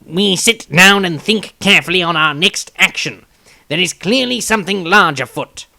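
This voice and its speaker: A man voicing a sly, wry male halfling rogue who speaks in a measured, lecturing way in a storybook reading. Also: sits high, expressive, creaky, pushed and bright, dry recording, voice pushed up, full character voice.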